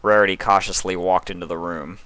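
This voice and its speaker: A man's voice: low-pitched, fairly even intonation, fairly quick, sharp tone, no echo. A man reading a story aloud as its narrator, in a scripted storytelling style. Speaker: narrator reading fan fiction.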